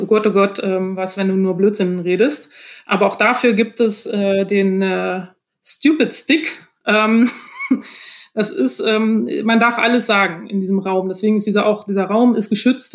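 A woman speaking as a guest in a casual interview, explaining her experiences hesitantly and often laughing as she goes. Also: mid-range voice. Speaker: podcast guest, author.

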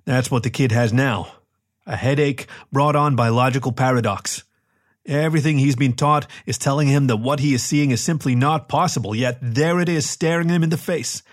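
A man's voice: medium pitch, moderate pace, pitched above the performer's own voice, natural voice. A man voicing a male spaceship captain in an audiobook story, wry, teasing and emphatic. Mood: earnest.

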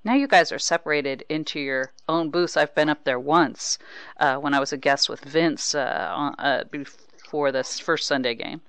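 A woman hosts a casual sports interview in a clear, smooth voice. She talks conversationally and with ready approval, and the sound is close and dry. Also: low voice, normal speed, a bit bright, no room sound. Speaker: sports podcast host.

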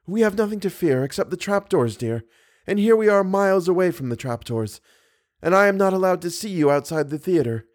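A man's voice: sits high, animated, fairly quick, voice pushed up. A man reading an audiobook, voicing a frightened, earnest and passionate young woman. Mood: urgent, pleading. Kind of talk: audiobook character dialogue.

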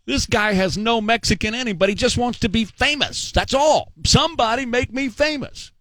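A man's voice: very high voice, moderate pace, sharp tone. A middle-aged man hosting a talk show, giving opinionated political commentary in a sarcastic, mocking, emphatic way.

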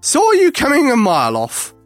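A storyteller puts on a haughty, bad-tempered Martian female for a children's story. She is pitched high and swoops about with rude, exasperated impatience. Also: huge pitch swings, moderate pace, soft background music. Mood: grumpy.

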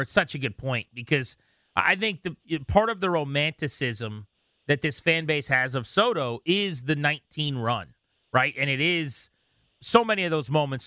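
A man hosting a sports radio interview, talking conversationally and recalling games in an animated way. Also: on the high side, no echo, clean studio sound.

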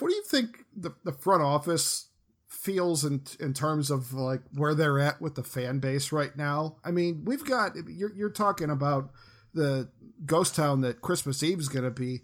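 Man in his thirties, chatty podcast host. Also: mid-range voice. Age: 30s. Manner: chatty, asks long rambling questions. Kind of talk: casual podcast chat.

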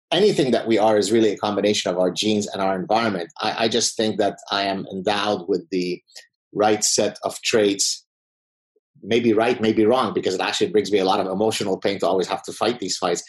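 A man's voice: on the low side, moderate pace, poor audio. A middle-aged man from the Middle East, a professor and native Arabic speaker, answering interview questions in a confident, combative way with wry humor. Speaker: podcast guest, professor.

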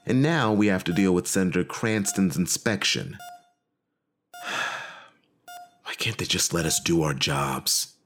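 A man's voice: low voice, lively intonation, takes it slowly, pauses often, fairly bright tone, full character voice. A man playing a frustrated, self-justifying colonel recording a conversational personal log in an audio drama. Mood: frustrated, wry. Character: frustrated, self-justifying male military colonel, a time traveler.

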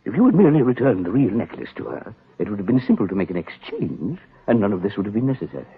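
A thin, narrow old radio recording carries an elderly gentleman murderer. His courteous, precise speech swings widely in pitch, with a cunning, ornate formality. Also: sing-song, quick pace. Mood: coolly explaining. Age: older. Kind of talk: 1940s radio acting.

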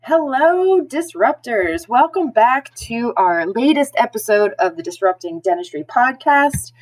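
A woman's voice: on the high side, animated, unhurried, rough recording. An American woman chatting casually as a podcast co-host. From New Jersey, United States. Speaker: podcast co-host, dental hygienist.

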